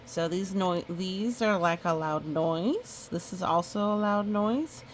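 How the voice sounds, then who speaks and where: A woman reading a picture book aloud in a chatty, expressive way, with casual asides. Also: lowish voice, slow, a little hiss or hum. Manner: chatty, expressive storytelling with playful sound effects. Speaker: storybook reader.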